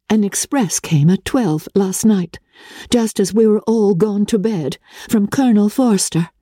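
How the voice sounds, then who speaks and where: A woman reading a classic novel, voicing an anxious, gentle young gentlewoman in an earnest, tender manner. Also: medium pitch, husky, dry recording, clean studio sound, pitched above the performer's own voice. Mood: anxious, hesitant. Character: anxious, gentle, hopeful young gentlewoman writing to her sister.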